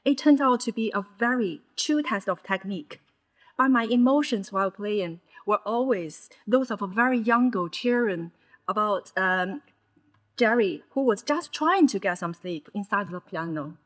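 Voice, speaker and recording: A woman in her thirties from mainland China, a Chinese speaker with a Chinese accent touched by Scouse, giving a conference talk in measured short phrases. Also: mid-range voice, expressive. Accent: Chinese with a Liverpool (Scouse) tinge. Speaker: conference presenter. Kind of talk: personal storytelling talk.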